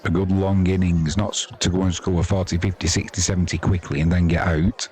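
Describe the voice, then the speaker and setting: A man's voice: sits low, level tone, a little fast, a little mellow. A man giving chatty, informal live cricket commentary with wry, colloquial asides. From England. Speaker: cricket commentator and stream host. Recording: crowd noise behind commentary. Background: stadium crowd.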